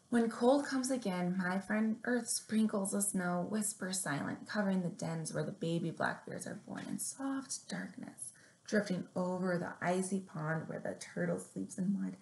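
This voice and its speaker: A young woman presents story time for little kids at an unhurried pace. Her voice has a noticeable creak at an ordinary female pitch. She is recorded on a webcam in a roomy, echoing space. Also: darkish tone. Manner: measured, deliberate read-aloud delivery with simple instructions. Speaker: children's librarian, story time host. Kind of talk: picture-book reading and finger plays for young children. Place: small room indoors at home or office. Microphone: webcam or laptop mic at arm's length.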